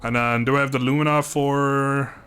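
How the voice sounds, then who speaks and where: Male livestreamer, medium pitch, loose chatty gameplay commentary with a self-deprecating streak, music underneath. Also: level tone, moderate pace, fairly bright tone, clear, resonant tone. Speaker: video game streamer. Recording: music playing behind the voice.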